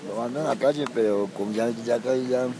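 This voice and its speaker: A man, answering street interview questions in short, halting, repetitive phrases. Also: mid-range voice. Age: 30s. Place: city park outdoors. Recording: outdoor crowd noise. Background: street crowd and traffic.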